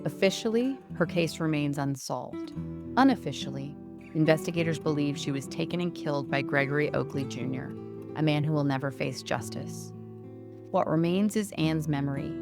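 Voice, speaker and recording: A woman's voice: low-pitched, creaky. A woman hosting a true-crime podcast, reading scripted narration. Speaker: podcast host. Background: guitar music.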